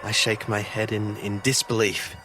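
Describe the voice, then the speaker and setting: An actor playing an earnest, haunted fisheries officer who tells his story haltingly in a first-person audio drama. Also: lowish voice, flat delivery, background noise, lightly acted. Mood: pleading, shaken. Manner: halting, earnest, stammering when pressed. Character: haunted male fisheries officer recounting a ghost-ship encounter. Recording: loud boat and sea ambience behind.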